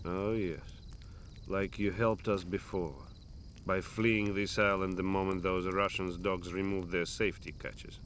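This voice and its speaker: A man's voice: low voice, flat delivery, unhurried, fairly bright tone, a little hiss or hum. A man acting as a bitter, world-weary resistance fighter in a video game cutscene. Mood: bitter, sardonic.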